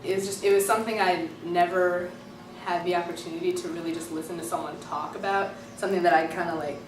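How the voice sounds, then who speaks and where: A woman's voice: on the low side, fairly even intonation, quick pace, background noise. A young woman student talking casually and enthusiastically about her class, with many fillers. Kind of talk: interview, casual reflection. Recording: echoey room from far off, music behind.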